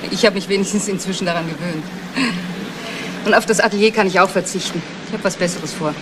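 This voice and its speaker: A woman's voice: fairly low pitch, fast, natural voice. A woman playing a frank, flirtatious, hard-up photographer, chatty and wry, in 1970s radio crime drama style. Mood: wry, confiding. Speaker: actress. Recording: noisy old 1970s radio play recording.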